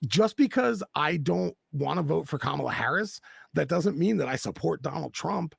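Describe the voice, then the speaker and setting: A man with a very gravelly, husky voice, speaking at a slightly raised pitch. He talks bluntly and with passion, swearing freely. The sound is close and dry. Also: lively intonation, moderate pace, no room sound. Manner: blunt and profane, impassioned. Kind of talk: casual political chat.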